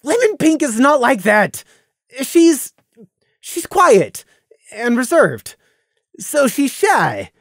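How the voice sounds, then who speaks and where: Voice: mid-range voice, sing-song, slow pace, long pauses, no room sound, studio quality, voice pushed up. A storyteller voicing a shy young pony stallion who stammers and protests when teased. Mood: flustered.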